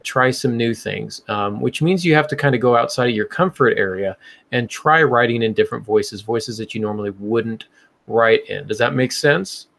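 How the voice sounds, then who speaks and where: A man's voice: medium pitch, moderate pace, vocal fry, fairly bright tone. A man hosting a podcast, talking casually in a chatty, explanatory way. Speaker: podcast host.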